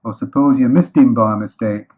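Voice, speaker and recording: A man voicing a gloomy, dignified storybook donkey in a deadpan, sardonic, ponderous manner. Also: mid-range voice, moderate pace, lightly acted. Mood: dry, aloof. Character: gloomy, sarcastic, dignified male donkey, coldly resigned. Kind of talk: children's storybook character voice. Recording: thin phone-like, muddy old recording.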